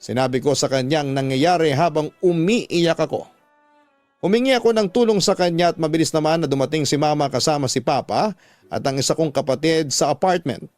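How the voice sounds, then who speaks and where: Filipino man narrating over music, higher-pitched voice. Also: fairly quick, a little mellow, no echo. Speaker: radio drama narrator reading a listener's letter. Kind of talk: dramatic scripted first-person storytelling.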